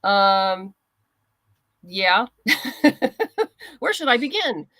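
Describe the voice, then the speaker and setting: A woman guest in a casual interview, chatting in a halting way as she thinks aloud. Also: mid-range voice, big pitch swings, pushed and bright, no echo, studio quality. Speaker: interview guest, comics writer and creator.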